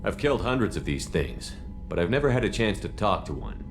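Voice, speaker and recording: A man's voice: on the low side, big pitch swings, brisk, music in the background. A man playing a resolute, decisive spaceship commander in a video game cutscene. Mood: firm, deliberate. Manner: decisive, authoritative orders.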